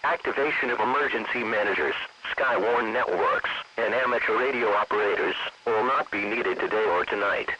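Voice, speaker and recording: A man's voice: on the low side, normal speed, few pauses. A man's synthetic-sounding voice reading a scripted weather bulletin in a stilted, evenly phrased way. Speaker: weather radio forecast announcer. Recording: muffled, noisy weather radio broadcast.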